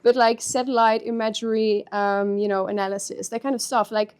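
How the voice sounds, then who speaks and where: A woman's voice: a little high, a little fast, clear, resonant tone. Direct Dutch-accented woman chatting. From Netherlands. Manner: frank and animated, with many fillers and laughing asides. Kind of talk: casual conversational interview.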